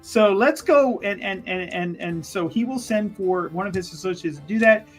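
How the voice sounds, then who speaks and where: A man's voice: sits high, normal speed, faint music behind. A man playing a tabletop roleplaying game, improvising narration about his character and often repeating his words.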